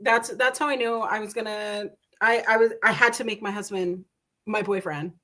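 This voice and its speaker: A woman in her thirties hosting a casual interview, chatty and quick to giggle. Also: medium pitch, steady tone, sharp tone. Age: 30s. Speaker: host.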